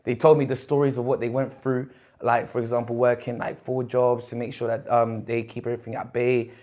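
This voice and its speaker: A man's voice: mid-range voice, little pitch movement, brisk, a little mellow. A young man from Colombia, a podcast guest telling stories in casual chat with many fillers and restarts.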